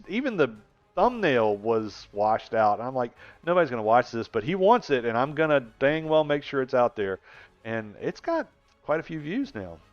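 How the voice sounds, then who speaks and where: A man's voice: mid-range voice, clean tone, dry recording. A man in his sixties chatting casually on a gaming live stream, rambling and laughing often as he answers viewers. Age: 60s. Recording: dull lo-fi stream audio.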